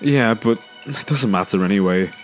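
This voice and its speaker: A man's voice: on the low side, normal speed, lightly acted. An actor playing an earnest, self-doubting father with a hesitant, stammering way of talking in an audio drama. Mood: sincere, reassuring. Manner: hesitant, stammering, repeats words. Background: music.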